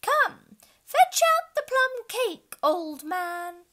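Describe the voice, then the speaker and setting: A woman voicing an excitable, boastful male unicorn who talks in shrill, eager cries. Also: slow, heavily acted.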